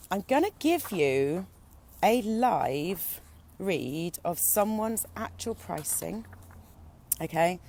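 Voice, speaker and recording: A woman's voice: fairly low pitch, slight background noise. A woman who is an equine photographer, giving a chatty, encouraging impromptu talk to her group, a little stuffy from a cold. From Britain. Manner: chatty, encouraging, relaxed with filler ums. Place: out at lunch.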